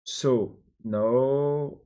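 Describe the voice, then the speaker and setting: A young man from Belgium with a French accent, thinking out loud while coding, hesitant with many pauses and restarts. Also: mid-range voice, steady tone, smooth. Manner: hesitant, many ums and restarts, answers chat questions. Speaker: programmer live-streaming his coding.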